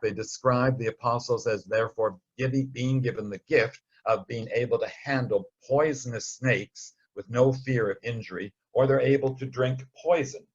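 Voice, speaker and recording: A man with a fairly low, husky voice leads a Bible study. He explains things informally, like a conversational storyteller with a wry streak. The recording sounds a little dull. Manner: conversational, wryly storytelling, acts out short quotes.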